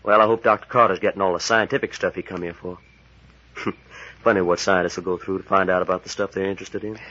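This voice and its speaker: An actor playing a brash, skeptical adventurer sidekick with a blunt, folksy manner in a 1940s radio serial. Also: male voice, sits low, steady tone, quick pace, bright, forceful tone. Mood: casual. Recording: muffled vintage 1940s radio recording.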